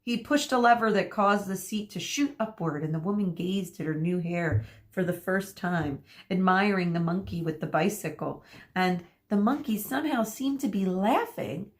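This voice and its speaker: A woman teacher reading a children's book aloud, pausing to explain words and giving the characters lively voices. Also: medium pitch. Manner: explains words for kids mid-story.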